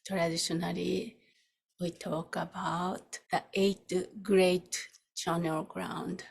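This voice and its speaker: Slow, husky woman guiding meditation. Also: fairly low pitch, flat delivery. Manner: soft, unhurried, with long pauses between short phrases. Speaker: meditation teacher. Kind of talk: guided meditation.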